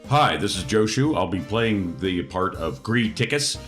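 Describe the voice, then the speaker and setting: Guy chatting about his rpg character, animated, on the deeper side, some music under. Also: unhurried. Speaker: tabletop game player. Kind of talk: casual game chat.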